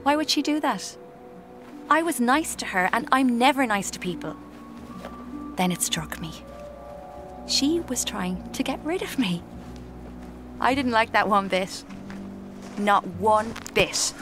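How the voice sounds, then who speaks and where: A woman's voice: fairly high pitch, lively intonation, takes it slowly, long pauses, music in the background. An actress in her twenties playing a blunt, restless aspiring writer with an Irish accent in a first-person radio drama. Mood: indignant, sulky. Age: 20s.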